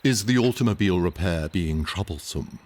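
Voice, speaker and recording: An actor playing an earnest, courteous household servant in an audio drama, formal and polite, with a husky voice. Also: male voice, deep voice, lively intonation, normal speed, a little mellow.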